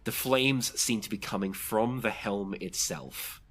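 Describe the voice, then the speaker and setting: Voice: mid-range voice, measured pace, soft background music. A game master running a tabletop role-playing game, narrating scenes and calling for rolls in a conversational way.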